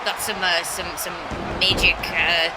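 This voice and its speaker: A color commentator at a wrestling show, trading playful banter and teasing jabs with short reactions and fillers. A heavy crowd roar and loud noise fill the background. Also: medium pitch, little pitch movement, unhurried. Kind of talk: comedic live commentary.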